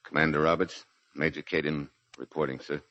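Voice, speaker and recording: Deep-voiced, forceful Marine major. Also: male voice, fairly even intonation, brisk. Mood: subdued, respectful. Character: grave, steady Marine major commanding an island garrison. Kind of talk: 1940s radio drama acting. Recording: muffled 1940s radio recording.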